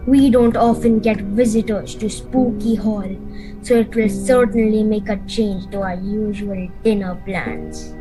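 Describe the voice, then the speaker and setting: A woman's voice: medium pitch, steady tone, unhurried, close to an everyday voice, poor audio. A woman acting a suave, menacing vampire count in a radio play, grandly hospitable and theatrical. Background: music.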